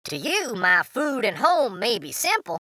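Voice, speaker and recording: A man's voice: high-pitched, huge pitch swings, unhurried, pushed and bright, dry recording, clean studio sound, pitched above the performer's own voice, full character voice. A man voicing a humble, friendly country mouse with an earnest, homely manner for a children's storybook. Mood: earnest, resolved.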